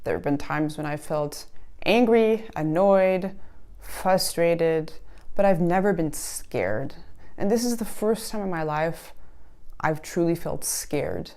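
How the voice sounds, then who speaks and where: A woman in her thirties with a low, steady voice, speaking in measured, reflective sentences. German was her first language, and a slight German cadence still colors her speech. She grew up in California and talks earnestly about her family. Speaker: interviewee. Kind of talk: personal testimony to camera.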